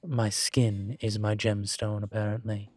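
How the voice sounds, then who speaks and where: A man playing a suave incubus demon professor in a roleplay audio drama, teasing and protective, with a wry, measured delivery. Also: on the low side, level tone, no room sound. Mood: teasing, charmed.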